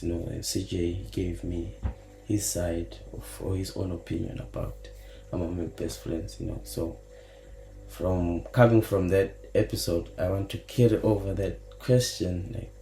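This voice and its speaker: Deep gravelly-voiced podcast host. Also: male voice, mellow, roomy sound, poor audio. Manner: laid-back, mixes Zulu slang into English. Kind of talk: casual podcast chat.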